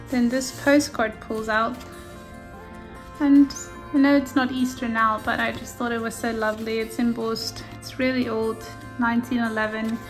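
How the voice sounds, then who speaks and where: A gentle, softly enthusiastic woman whose first language is Afrikaans, talking through her handmade journal at an easy pace. Her voice sits a little high. Faint music plays quietly behind her. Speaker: junk journal maker. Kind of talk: casual flip-through narration. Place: indoors at a craft table.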